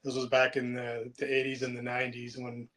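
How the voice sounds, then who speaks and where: Relaxed chatty man in his fifties. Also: mid-range voice, brisk. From United States. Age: 50s. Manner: relaxed, chatty storyteller with frequent ums and restarts. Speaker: interview guest. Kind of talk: casual interview chat.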